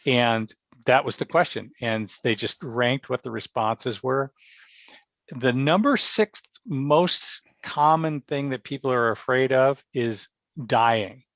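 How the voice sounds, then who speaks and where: A man speaks as a theatre director being interviewed, in a conversational, explanatory way with halting starts. His voice has a gravelly creak at a middling pitch. The sound is slightly dull and lo-fi. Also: fairly bright tone, no room sound. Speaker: podcast guest, stage actor and director. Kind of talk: casual interview talk.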